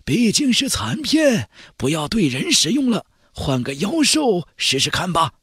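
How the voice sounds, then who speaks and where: A man voicing an old Taoist sage in an audiobook, a knowing, slightly scornful mentor who speaks in drawn-out, deliberate phrases. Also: high voice, huge pitch swings, a bit bright, husky, no room sound, studio quality, pitched above the performer's own voice, exaggerated voice. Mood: measured advice. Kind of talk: audiobook character voice.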